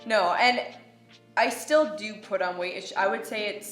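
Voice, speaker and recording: Young woman, soft casual vlogger chat, echoey room, faint music. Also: mid-range voice, unhurried. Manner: soft, chatty, says "like" a lot. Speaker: travel vlogger.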